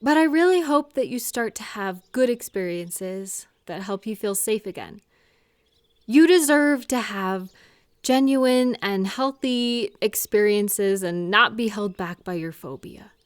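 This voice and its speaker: A woman playing a caring, slightly awkward friend in a comfort audio roleplay, speaking one-on-one in a gentle, earnest, hesitant and reassuring way. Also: mid-range voice, expressive, measured pace, clear, resonant tone, no echo, studio quality, lightly acted. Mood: tender, supportive.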